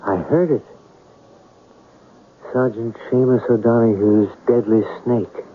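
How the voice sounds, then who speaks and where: An actor playing a proud, dreamy writer in a mystery radio drama, theatrical and deliberate, lingering over his phrases. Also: mid-range voice, fairly even intonation, lightly acted. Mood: dramatic, hushed. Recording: narrow tinny radio broadcast, faint hiss.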